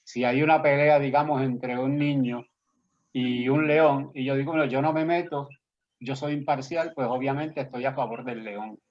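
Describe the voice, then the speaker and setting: A man's voice: mid-range voice, steady tone, moderate pace, darkish tone. A man with a Puerto Rican accent, a poet and guest, speaking earnestly and persuasively in a conversational interview. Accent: Puerto Rican Spanish. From Puerto Rico. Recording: slightly dull lo-fi audio.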